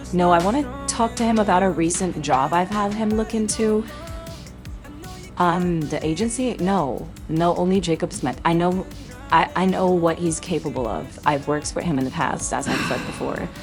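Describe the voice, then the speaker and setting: A protective mom acted by a woman with a somewhat low voice. She is assertive and composed, explaining things reassuringly in a roleplay drama. Music plays behind her. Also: natural voice. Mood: firm, insistent. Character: firm, protective, reassuring model mother.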